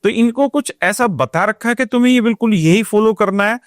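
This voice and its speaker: A man's voice: high voice, brisk, no echo. Inquisitive North Indian man, dry recording. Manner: inquisitive, rephrases answers and asks follow-up questions. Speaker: interviewer, host. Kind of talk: casual conversational interview.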